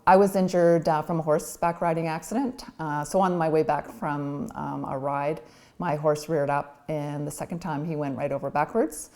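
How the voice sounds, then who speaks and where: A woman in her forties gives a documentary interview. Her voice is low and mellow, and she speaks candidly and reflectively, pausing on ums as she thinks it through. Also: steady tone. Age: 40s.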